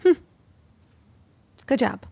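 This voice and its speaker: A woman's voice: extremely slow, no room sound. Playful woman chatting into a close mic. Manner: lively, playful, teasing. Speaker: podcast guest. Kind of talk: casual chat. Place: living room, indoors. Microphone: studio mic on boom arm, headphones.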